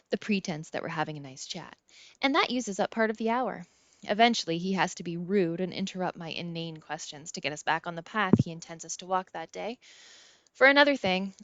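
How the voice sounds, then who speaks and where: A woman's voice: fairly low pitch, a bit bright, no echo. A woman reading scripted first-person fiction as an audiobook narrator. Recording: slightly dull, dry close recording.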